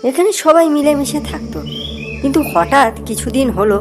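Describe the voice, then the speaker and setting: Animated female story narrator, loud music. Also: high voice, brisk. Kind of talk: scripted children's story narration.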